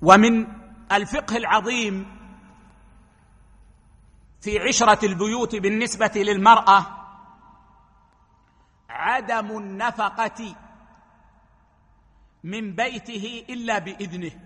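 A man gives a religious lesson in a high, bright voice, laying out each ruling step by step. The sound carries a long reverb from a hall. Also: fairly even intonation, takes it slowly, long pauses, slightly rough. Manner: explains rulings step by step, quoting hadith. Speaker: preacher.

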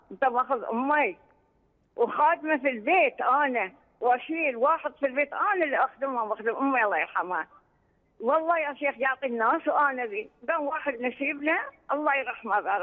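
A woman calling in by phone, telling a long rambling personal story with some anxiety. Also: sits high. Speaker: caller. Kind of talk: phone-in question to a religious scholar. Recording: tinny phone line with long reverb.

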